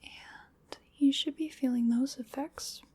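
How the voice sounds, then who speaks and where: A woman voicing a playful, chatty female vampire in a whispered ASMR roleplay, breathy, soothing and wryly polite as she dotes on her prey. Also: high voice, fairly even intonation, takes it slowly, dark tone, no room sound.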